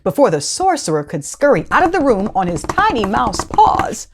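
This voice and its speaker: A woman reading a children's storybook aloud with dramatic, expressive narration. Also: a little high, moderate pace, heavy background noise.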